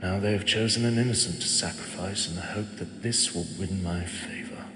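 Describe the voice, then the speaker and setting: A man voicing an ancient male god who speaks softly and slowly, fond and gently teasing, as if to a mortal he protects. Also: deep voice, fairly even intonation. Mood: stern. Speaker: voice actor. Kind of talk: audio drama monologue. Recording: echoey with long reverb and clear ambience.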